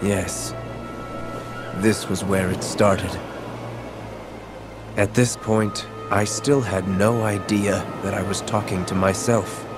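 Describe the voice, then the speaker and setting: A cold, composed young male villain from a video game, low-pitched and solemn. He speaks in slow, cryptic, measured phrases over clear background music. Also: fairly even intonation. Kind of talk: video game cutscene acting.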